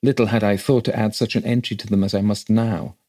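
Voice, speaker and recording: A man's voice: fairly low pitch, fairly even intonation, brisk, mellow, husky. A man voicing an educated, slightly impatient country clergyman with a formal, authoritative manner in a ghost story read aloud. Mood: impatient, businesslike.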